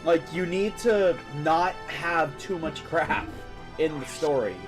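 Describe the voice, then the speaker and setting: A man's voice: a little high, takes it slowly, over music. A man giving casual, opinionated, rambling commentary on a live stream while he plays a video game.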